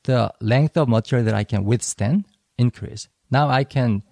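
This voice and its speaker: A man whose first language is Korean talks through ideas on a podcast with a gravelly, mellow voice. He explains at a measured, slightly halting pace, recorded dry and close to the mic. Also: medium pitch, no echo. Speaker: podcast co-host. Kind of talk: casual educational discussion.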